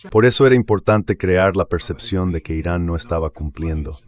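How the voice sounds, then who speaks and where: A man with a deep voice delivers translated political commentary as an interview guest. He explains his points bluntly and with emphasis. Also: a little fast.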